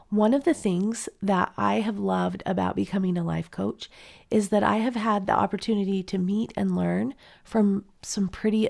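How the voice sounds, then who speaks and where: A woman's voice: medium pitch, gravelly, dark tone. A middle-aged woman hosting her podcast and reading announcements, stuffy from a cold, upbeat and encouraging. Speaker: podcast host and life coach. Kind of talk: scripted podcast intro and announcements.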